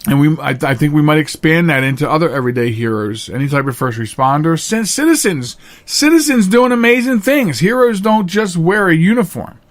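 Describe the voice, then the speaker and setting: Higher-voiced man hosting a casual podcast. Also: expressive. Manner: halting, with frequent repetition of phrases for emphasis. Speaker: podcast host. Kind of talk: casual unscripted podcast monologue.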